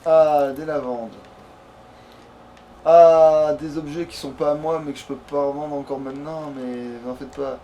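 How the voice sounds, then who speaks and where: A man with a fairly high voice chats his way through a video game. He is informal and sweary, often muttering to himself as he plays. There is clear noise behind him. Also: steady tone, measured pace, mellow. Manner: casual, sweary, muttering to himself while playing. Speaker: let's player. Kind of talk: casual gaming commentary. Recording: clearly noisy background.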